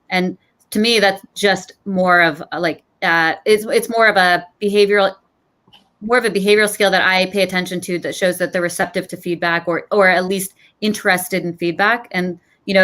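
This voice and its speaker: A woman's voice: mid-range voice, fairly bright tone. Thoughtful woman recruiter on a panel. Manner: thoughtful, many restarts. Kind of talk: panel discussion.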